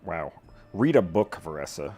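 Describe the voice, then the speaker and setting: Very low male voice, animated intonation, casual gaming commentary, slangy, wisecracking. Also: normal speed, soft background music. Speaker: gaming streamer. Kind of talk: casual reaction commentary over a video game.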